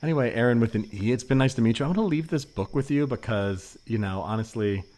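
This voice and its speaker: A man playing an earnest, literal-minded seeker in improvised comedy, speaking in a halting, deadpan way. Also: mid-range voice, voice pushed up, natural voice. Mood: self-assured, earnest.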